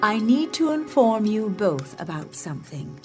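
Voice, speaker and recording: A patient mother in a family audio drama. She gently corrects in a slow, measured way with plenty of pitch movement. Faint music sits underneath. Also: sing-song. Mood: gently instructive.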